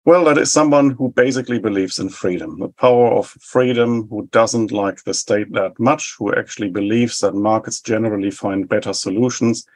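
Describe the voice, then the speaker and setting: A man in his forties from West Germany with a German accent, explaining public policy in measured, list-like answers as an interview guest. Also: on the low side, darkish tone. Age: 40s. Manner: measured, explanatory, rhetorical lists. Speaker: think tank head, guest.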